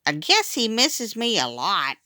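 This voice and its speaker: Woman playing an eager little boy, high and bright. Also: wildly swooping pitch, unhurried, no echo, clean studio sound, full character voice. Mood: proud. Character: earnest kindergarten little brother, excitable and proud. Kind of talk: children's storybook character voice.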